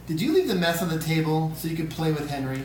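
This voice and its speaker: A woman's voice: sits low, expressive, fast talker, darkish tone. A woman playing a plaintive, protesting nine-year-old boy at an amateur script read. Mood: doubtful, sulky. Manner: whiny, protesting. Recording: echoey room, distant mic, hiss.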